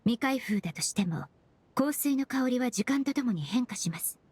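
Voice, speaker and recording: An actress playing a calm, polite young woman perfumer in an anime game, precise and soft-spoken. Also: fairly high pitch, normal speed, no room sound. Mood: matter-of-fact. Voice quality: soft, breathy.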